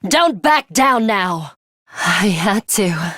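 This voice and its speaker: A stoic young female commander in an anime-style video game. She speaks slowly and deliberately at a medium pitch, composed and imperious, keeping her guard up. The sound is dry and close. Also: big pitch swings, a bit bright, no echo, heavily acted. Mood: tense, then subdued. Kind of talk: English video game voice acting, dramatic anime-style dialogue.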